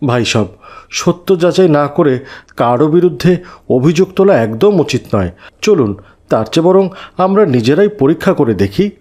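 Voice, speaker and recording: A man acts out a pious adult male cartoon neighbour. His voice is slightly high for a man, and he admonishes in a measured, fair-minded way. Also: animated, a little mellow. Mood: admonishing. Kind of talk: children's moral cartoon dialogue.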